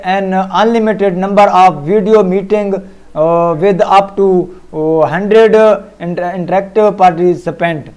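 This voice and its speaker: Male tutorial presenter, high-pitched and clean-toned, reading a script with frequent stumbles. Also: a little mellow. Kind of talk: scripted narration read aloud.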